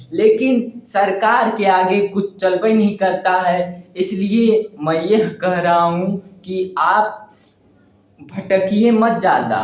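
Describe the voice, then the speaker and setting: A young man addressing exam candidates directly, emphatic and repeating phrases for effect. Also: high voice. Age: young adult. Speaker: exam preparation vlogger. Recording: roomy, echoing indoor sound.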